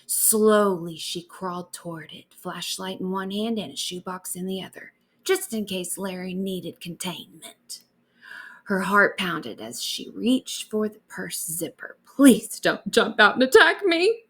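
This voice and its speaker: A woman reading a bedtime story aloud as an expressive storyteller. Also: on the low side, unhurried, slightly rough.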